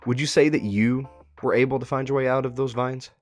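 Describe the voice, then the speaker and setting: A man's voice: medium pitch, steady tone, brisk. A man hosting a casual interview, asking questions in an inquisitive, encouraging way. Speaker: interviewer, host. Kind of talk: casual interview about a web horror series. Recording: music playing behind. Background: music.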